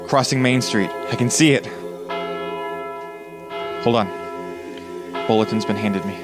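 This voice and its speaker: An actor playing a grave radio news announcer in a 1930s-style radio drama, giving halting eyewitness commentary. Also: male voice, on the low side, music in the background, close to an everyday voice. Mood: grave urgency.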